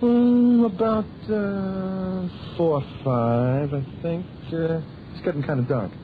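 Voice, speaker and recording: A man's voice: high-pitched, steady tone, slow. An actor playing a sarcastic American newsreel soundman, a needling, slangy sidekick in vintage radio drama style. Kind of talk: 1930s-40s radio acting. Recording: tinny, band-limited, noisy old radio recording.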